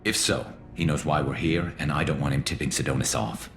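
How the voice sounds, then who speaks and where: A grim alien vigilante with an extremely low, gravel-filled voice. He talks in terse, threatening lines, a vengeful former cop from a video game, with faint music underneath. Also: fairly even intonation, brisk. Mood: menacing, tense. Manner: terse, menacing. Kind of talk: video game character acting.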